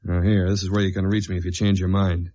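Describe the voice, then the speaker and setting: An actor playing a tough, wry government investigator in a 1950s-style radio detective drama, with clipped, slangy delivery. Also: male voice, sits low, level tone, fast talker, natural voice. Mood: hard-boiled, weary. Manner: clipped, slangy, hard-boiled. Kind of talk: 1950s radio acting with first-person narration. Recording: dull vintage radio recording with music.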